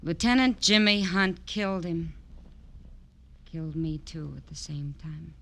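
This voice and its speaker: An actress playing a bitter, hard-boiled soldier's widow in a 1950s radio drama, biting and sarcastic, on the edge of losing control. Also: female voice, low-pitched, steady tone, measured pace, full character voice. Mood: bitter, vengeful. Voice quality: creaky, sharp. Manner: biting, sarcastic, swinging from mutter to outburst.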